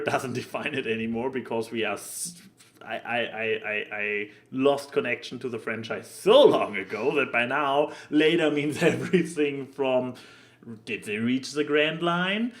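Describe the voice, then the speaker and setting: A man chatting casually as a podcast co-host, halting and stammering as he searches for words. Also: medium pitch. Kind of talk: casual podcast chat about anime.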